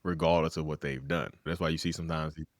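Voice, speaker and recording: Deep-voiced fast-talking man host. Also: dry recording, clean studio sound. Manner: animated, acts out quoted voices. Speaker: podcast host. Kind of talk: casual conversational interview.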